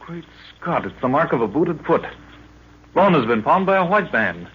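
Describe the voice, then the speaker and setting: An actor playing an earnest, anxious young assistant in an old radio adventure, urgent and exclamatory yet respectful. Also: male voice, on the high side, big pitch swings, moderate pace. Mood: worried, urgent. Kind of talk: 1940s radio adventure acting. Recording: muffled, hissy old radio recording.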